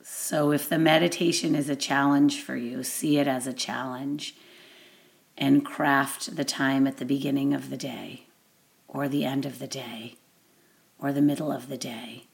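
A woman giving a guided meditation introduction as an instructor, with deliberate, measured phrasing and long pauses. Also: rumbling low, steady tone, gravelly.

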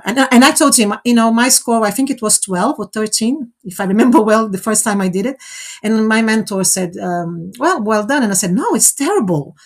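A woman with a somewhat high voice, whose first language is Portuguese, runs a live online speaking exercise. She coaches and encourages, checks in constantly with short "okay?" and "all right?" tags, and talks with a warm, supportive energy. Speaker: host running a fluency exercise.

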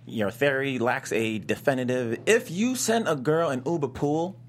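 A man's voice: medium pitch, normal speed, a little hiss or hum, natural voice. A man reading a cocky, touchy young male gamer with a mocking, slangy manner in a comedy table read. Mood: cocky, amused.

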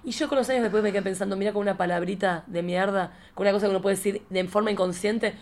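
Fast-talking Argentine woman, playful storyteller. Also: medium pitch. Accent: Argentine Rioplatense. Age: 40s. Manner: playful, theatrical, expressive storytelling. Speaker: podcast host. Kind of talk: casual chatty interview with personal anecdotes.

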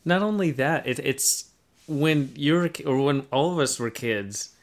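A man's voice: a little high, lively intonation, unhurried. A man co-hosting a podcast, talking casually and giving his opinions in an explanatory, emphatic way. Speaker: podcast co-host.

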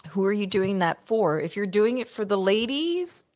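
A woman's voice: medium pitch, very animated, moderate pace. A woman co-hosting a casual watch-party podcast, talking emphatically with exasperated rhetorical questions. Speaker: podcast co-host.

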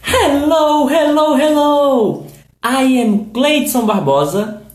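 A man from Brazil with a Brazilian Portuguese accent, a podcast host teaching English on a livestream, speaking very deliberately and repeating words for learners to echo. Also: very high-pitched. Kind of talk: slow teaching talk, drilling phrases for learners. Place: recorded on a livestream.

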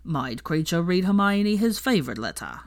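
A woman voicing a servile, spiteful old male house-elf who speaks deliberately in a storybook reading. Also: on the low side, big pitch swings. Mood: eager, devoted. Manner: speaks of himself in third person, deliberate.

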